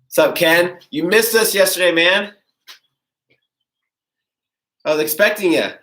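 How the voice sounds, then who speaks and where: A man's voice: sits high, brisk. Congested man coaching from across a roomy gym. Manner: relaxed, friendly coaching while demonstrating stretches on the floor. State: congested, stuffy nose. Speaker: fitness coach. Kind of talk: live-streamed workout instruction. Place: garage gym, indoors. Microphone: camera mic from across the room. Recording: echoey garage, voice from a distance.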